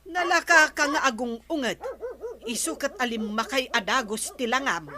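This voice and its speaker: A woman's voice: high-pitched, moderate pace, a crowd in the background, lightly acted. An actress playing a nagging, complaining village woman with an Ilocano accent in a comedy radio drama.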